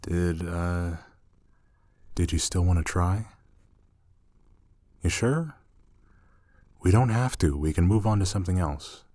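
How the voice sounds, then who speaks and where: A man plays a young, soft-spoken male roommate in an intimate ASMR roleplay. His low voice moves slowly, soothing and reassuring with a light tease. The sound is close and dry. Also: dry recording. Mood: apologetic concern. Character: gentle, teasing male roommate soothing a shy catgirl-like companion.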